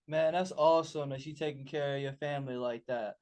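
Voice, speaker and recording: A man's voice: medium pitch, fairly even intonation, a little fast, darkish tone, smooth. A man hosting a sports radio talk show who interviews and hypes up his guest in an upbeat way.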